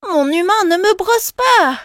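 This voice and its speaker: A woman voicing a gentle, plaintive female pet dog who teaches yoga, in a dramatic French cartoon style. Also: extremely high pitch, expressive, unhurried, a little hiss or hum, exaggerated voice. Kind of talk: French children's cartoon dub acting.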